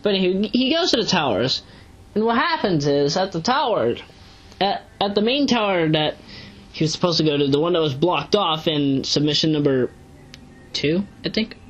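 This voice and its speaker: A woman giving casual, unscripted commentary as an online reviewer, rambling and halting as she corrects herself. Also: fairly low pitch, sing-song, lo-fi sound. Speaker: online video reviewer and narrator. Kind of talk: casual unscripted commentary recapping a web series.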